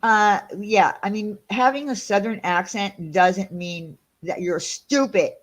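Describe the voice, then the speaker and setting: A woman's voice: medium pitch, takes it slowly. A woman with a Long Island New York accent, hosting a casual livestream and reading out chat, talking in an indignant, rambling way with many fillers.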